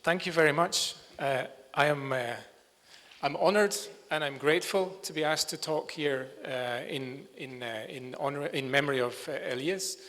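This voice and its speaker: A man's voice: a little high, normal speed, a bit bright. A man from Scotland giving a conference presentation in a lecture hall, speaking hesitantly and searching for words. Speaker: bird sound recordist giving a talk. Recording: reverberant hall.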